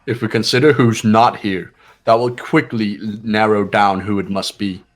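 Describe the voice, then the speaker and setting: A man plays a coolly analytical student in a visual novel game. His voice sits fairly low, composed and deliberate with an air of command, over soft music. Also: steady tone, close to an everyday voice. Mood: calm deduction. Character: cool, commanding, analytical teenage male student.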